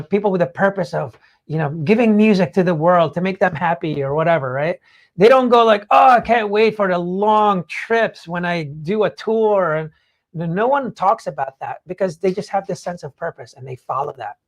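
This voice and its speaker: A man's voice: high voice, moderate pace. A man speaks in a loose, conversational way, like a podcast host giving motivational advice. His explanations ramble informally, sprinkled with filler phrases as he works toward each point. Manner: informal, rambling explanations peppered with "like" and "you know". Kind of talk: casual motivational talk.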